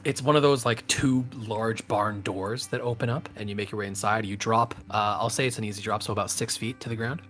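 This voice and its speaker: A man with a fairly low voice acts as game master, describing scenes off the cuff. His delivery is casual and halting, with some music playing behind him. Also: steady tone, a bit bright. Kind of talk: improvised narration of a tabletop game.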